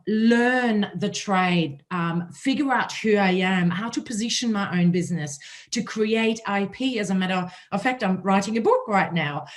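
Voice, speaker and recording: Raspy german-accented woman hosting a panel, chatty and personal. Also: mid-range voice. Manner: conversational, telling her own story. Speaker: host.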